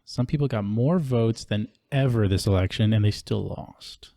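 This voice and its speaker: A man's voice: fairly low pitch, normal speed, dark tone, no room sound, clean studio sound. A man hosting a podcast from Hawaii, chatting informally with lots of casual fillers. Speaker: podcast host. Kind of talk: casual interview conversation. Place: podcast studio. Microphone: studio microphone.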